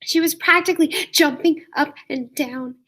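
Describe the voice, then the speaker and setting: A woman reading a story aloud to young children like a teacher, expressive, with drawn-out emphasis. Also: sits high, low-quality recording. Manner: expressive, asks listeners questions, drawn-out emphasis.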